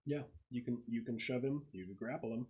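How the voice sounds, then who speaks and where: A man's voice: mid-range voice, fairly quick, highs cut off. A man running a tabletop roleplaying game as game master, casually narrating rules and action. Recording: noisy home recording with clicks.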